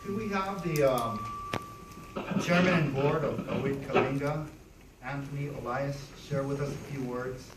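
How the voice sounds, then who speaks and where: A man's voice: medium pitch, normal speed, heavy background noise. Man giving formal remarks, echoey and distant. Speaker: press conference host. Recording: echoey room, distant mic.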